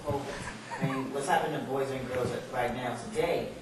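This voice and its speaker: A man's voice: mid-range voice, steady tone, fairly quick, crowd noise. A man chatting informally in a group discussion in an agreeable manner. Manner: agreeable, informal, often affirms others. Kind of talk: casual group discussion at a book talk. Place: indoor literary salon, far from mic. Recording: echoey distant room with audience sounds.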